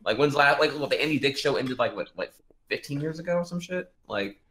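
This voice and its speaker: A man in his late twenties on a casual group stream, joking and animated. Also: medium pitch, fairly quick. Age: 20s. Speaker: streamer guest.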